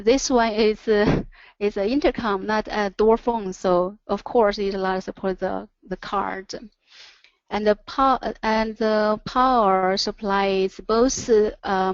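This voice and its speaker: A woman who speaks English as a second language presents a product in a webinar, haltingly, pausing between short phrases. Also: mid-range voice, steady tone, clear, resonant tone, no echo. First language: not English. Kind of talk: product presentation over slides. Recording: dull, lo-fi online audio.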